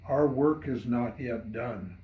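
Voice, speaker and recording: A man voicing a calm, devout farmer bishop with a gentle, subdued, fatherly manner in a poem reading. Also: medium pitch, takes it slowly, close to an everyday voice. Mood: calm, steadfast. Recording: roomy echo.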